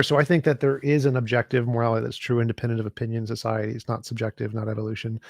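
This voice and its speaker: A man in a casual podcast conversation who explains his views in a relaxed way and reads out viewer questions. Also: medium pitch, no echo, clean studio sound. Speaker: podcast guest reading chat questions.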